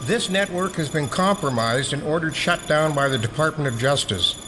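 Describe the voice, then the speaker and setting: An actor playing a sardonic, wisecracking middle-aged American conspiracy hacker in an audio drama. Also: male voice, on the high side, animated, moderate pace, sharp tone, soft background music, low-quality recording. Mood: suspicious.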